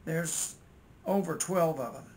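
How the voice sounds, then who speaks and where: A man's voice: fairly high pitch, slow pace. An older American man with a folksy way of thinking aloud, casually narrating as he works at a repair bench. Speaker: electronics repair hobbyist and video host. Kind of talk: casual muttered narration while working at repairs. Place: indoors at a repair workbench. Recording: steady noise, home-made workbench recording.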